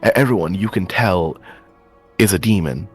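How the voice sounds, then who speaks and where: A man's voice: low voice, moderate pace, breathy edge, soft background music. Man playing a stammering, suspicious anime questioner. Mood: doubtful, pressing. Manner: stammering, repeats words while pressing questions. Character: wary, sceptical male questioner distrustful of demons. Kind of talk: improvised anime video game roleplay.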